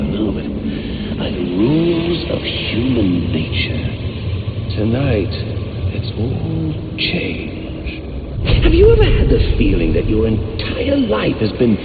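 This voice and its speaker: A theatrical, unhinged clown villain, purring slowly and swinging his pitch wildly between whispers and squeals. It is a video game scene with tinny, phone-like sound buried under a loud musical score. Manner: purring, theatrical, swooping pitch, cackling laughs.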